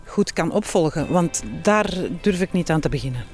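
A woman's voice: lowish voice, lively intonation, normal speed, darkish tone, soft background music. A woman with a Flemish accent chatting candidly and expressively as an interview guest. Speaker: guest, author and healthy-lifestyle speaker. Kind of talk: casual interview chat.

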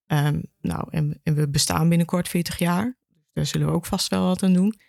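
A woman's voice: fairly low pitch, steady tone, moderate pace, gravelly, a little mellow, dry recording, clean studio sound. A woman, talking conversationally as an archive education officer in an interview.

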